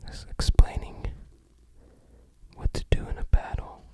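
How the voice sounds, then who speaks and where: An ASMR creator whispering unhurried, musing, slightly hesitant commentary while showing items to the viewer. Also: warm tone.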